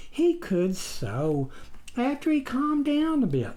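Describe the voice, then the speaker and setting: A man's voice: extremely high pitch, huge pitch swings, slow pace, mellow, pitched above the performer's own voice, heavily acted. A man voicing a meek, whimpering male demon in an audiobook story, timid and coaxing.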